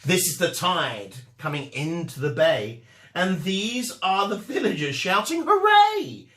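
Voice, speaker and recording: A man reading a rhyming children's story aloud with measured, sing-song delivery and dramatic emphasis. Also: a little high, very animated, fairly bright tone, reverberant.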